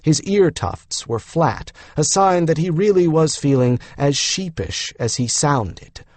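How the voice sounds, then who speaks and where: A man's voice: a little high, animated, slow pace, dry recording. A man reading scripted fantasy fiction as an audiobook narrator.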